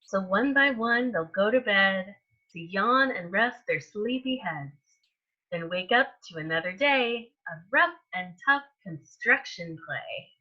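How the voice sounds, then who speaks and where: A woman reading a picture book aloud to young children as a storytime host, in an expressive manner that stretches out words and softens endings. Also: mid-range voice, highs cut off. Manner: animated, with drawn-out words and hushed endings.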